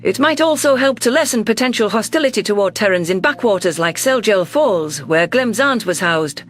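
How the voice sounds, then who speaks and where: A man narrating a science fiction story in the first person, in an expressive, theatrical manner. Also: sits high, normal speed.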